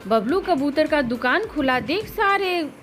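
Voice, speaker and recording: High, lively woman storyteller with music. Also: a little slow. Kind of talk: scripted children's story narration in Hindi cartoon.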